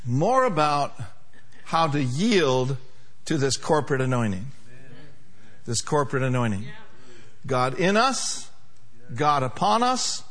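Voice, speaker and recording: A man's voice: fairly high pitch, fairly bright tone. A male pastor preaching a sermon to a congregation, emphatic, with call-and-response.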